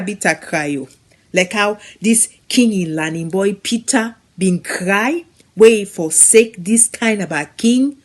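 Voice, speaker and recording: A woman with a West African Krio accent delivers a dramatic devotional monologue, speaking emphatically and addressing listeners directly with rhetorical questions. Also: fairly high pitch. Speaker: devotional reciter.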